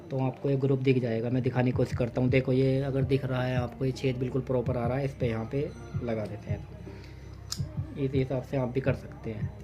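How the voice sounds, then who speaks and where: A man hosting a repair tutorial, talking through each step at his workbench, briskly and with instructive repetition. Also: medium pitch. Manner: brisk, instructive, repeats "fatafat" and "theek hai". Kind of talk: hands-on repair demonstration.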